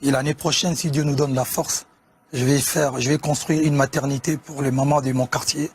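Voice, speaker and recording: A man from Congo, speaking as a guest musician on a TV sports show, measured and often hesitating. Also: medium pitch, fairly even intonation, husky. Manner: measured, with frequent hesitations and restarts. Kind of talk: casual TV interview talk.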